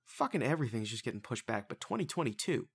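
A man's voice: medium pitch, very animated, fast talker, gravelly, slightly rough. A man in his twenties hosting a casual podcast, loose and profane, rambling through movie news. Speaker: podcast host.

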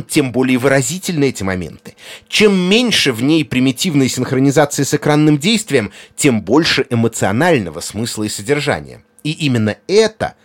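A man hosting a podcast, reading a scripted essay in an articulate, emphatic way. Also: mid-range voice. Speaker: podcast host.

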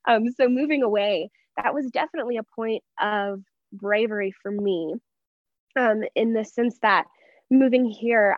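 A woman's voice: medium pitch, dry recording. Earnest young American woman, slightly dull audio. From Texas, United States. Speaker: interview guest, church member. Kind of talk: reflective personal conversation.

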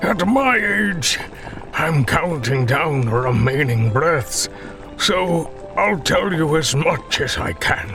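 A man voicing a blind, frail old man who tells stories in a slow, weary, complaining drawl. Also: fairly high pitch, expressive, over music, pitched above the performer's own voice.

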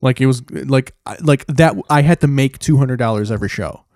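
A man chatting loosely on a comedy podcast, his talk full of fillers and restarts. His voice sits at an ordinary pitch and is picked up dry and close, with no room sound around it. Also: fairly quick, a little mellow, dry recording. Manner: rambling, many fillers and restarts. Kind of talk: casual podcast chat.

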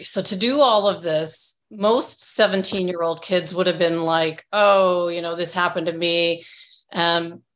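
A woman's voice: fairly low pitch, a bit bright. A woman interviewing her guest with friendly, curious questions.

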